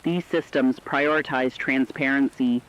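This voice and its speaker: A man reading a scripted, dramatized radio news report as an anchor in a formal, measured way. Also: medium pitch, steady tone, a bit bright. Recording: slightly dull, band-limited radio sound.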